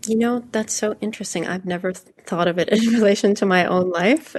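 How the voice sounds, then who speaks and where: A woman's voice: medium pitch, a little fast. A woman from Hungary being interviewed as a novelist, talking reflectively and conversationally with tentative phrasing.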